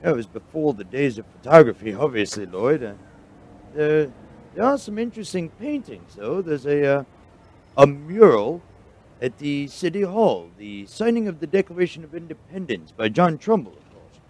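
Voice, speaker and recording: A man playing an obliging, pedantic history professor in a radio drama, halting and pondering as he speaks. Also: fairly high pitch, sing-song, a little mellow. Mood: pondering, helpful.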